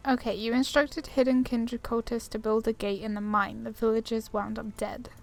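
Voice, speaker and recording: A woman's voice: on the high side, flat delivery, normal speed, vocal fry, soft background music. A woman giving casual, chatty commentary on a game playthrough, wandering off on tangents and reading dialogue aloud. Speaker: gaming streamer. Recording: faint background noise.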